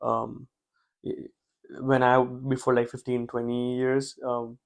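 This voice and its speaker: Flat-voiced podcast host. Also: male voice, mid-range voice, takes it slowly, creaky. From Gujarat, India. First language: Gujarati. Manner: asks questions, frequent fillers. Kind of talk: casual interview.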